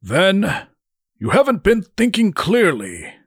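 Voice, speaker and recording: Guy doing a cocky greedy young brother, big swoopy voice, slow and kinda husky. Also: high-pitched, huge pitch swings, no echo, studio quality, voice pushed up, heavily acted. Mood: scolding. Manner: defiant, blunt, scolding. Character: brash greedy young male halfkin brother. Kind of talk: audiobook character voice.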